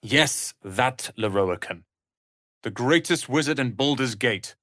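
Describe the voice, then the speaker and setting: A man's voice: medium pitch, lively intonation, slow pace, a bit bright, no room sound, studio quality. A man voicing a haughty, sardonic young wizard's apprentice in a video game, with a pompous, theatrical delivery. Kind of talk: dramatic video game voice acting.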